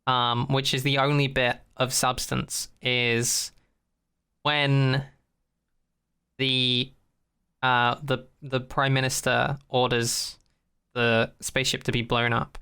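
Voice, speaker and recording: Podcast host, bright and clean-toned, casual and rambling, jokey with plenty of swearing. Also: mid-range voice, flat delivery, takes it slowly, long pauses, clear, resonant tone. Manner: sweary, jokey, mockingly acts out quotes. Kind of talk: casual rambling recap chat.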